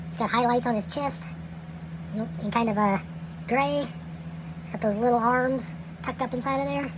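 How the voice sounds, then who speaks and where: A woman giving casual unboxing commentary as a toy reviewer, relaxed and chatty, with plenty of fillers and trailing phrases, recorded indoors at night. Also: a little high. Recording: home recording with steady background noise.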